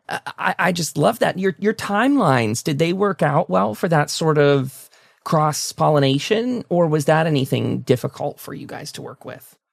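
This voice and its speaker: A man hosting a casual interview, enthusiastic and encouraging, with halting, stammered starts to his sentences. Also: fairly high pitch, gravelly, no echo, studio quality. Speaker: talk show host, interviewer.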